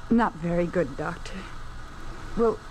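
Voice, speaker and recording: A woman's voice: fairly low pitch, slow pace, a little mellow. A woman acting a frightened, meek, suffering patient in a 1950s radio drama, on a noisy old recording. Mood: pained.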